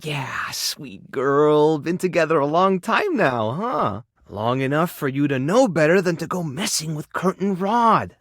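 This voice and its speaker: A soft-hearted, self-effacing animal rescuer from a video game. His delivery is shy and earnest, full of small hesitations. The pitch moves around in an animated way, and the recording is dry, with no room sound. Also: moderate pace, hardly any pauses, no room sound. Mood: fond, amused. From Mexico (character). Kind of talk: video game character voice acting.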